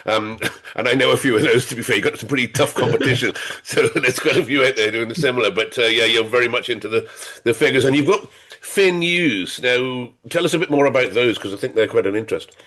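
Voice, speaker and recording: Male host with a cold, mid-pitched, chatty, quick to joke. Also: a bit bright. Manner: chatty and jokey, laughs often. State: has a head cold, sniffly. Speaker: podcast host. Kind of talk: casual interview.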